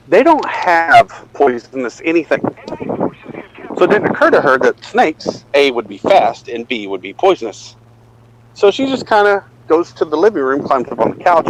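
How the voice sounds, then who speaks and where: Middle aged guy telling rambling stories, kinda high voice, very animated, pokes fun at himself. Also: rough recording. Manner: rambling, self-deprecating, acts out dialogue. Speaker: storyteller. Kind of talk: casual anecdotal storytelling.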